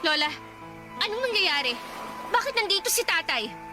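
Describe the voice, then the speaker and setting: Anxious granddaughter, played by a woman, young, Filipino accent, very high register, earnest radio drama delivery, music behind. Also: big pitch swings, normal speed. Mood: shocked, questioning. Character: dutiful young granddaughter, a housemaid, anxious and questioning.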